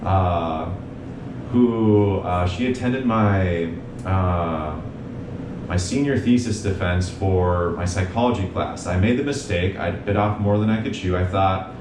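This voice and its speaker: A young man speaks in an earnest, measured way, recounting his path as a guest. His slightly low voice sits back from the mic in a roomy, echoing space. A steady rumble hums beneath. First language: English. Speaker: guest, mental health provider. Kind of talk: reflective interview talk. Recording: echoey room, distant mic, noisy rumble.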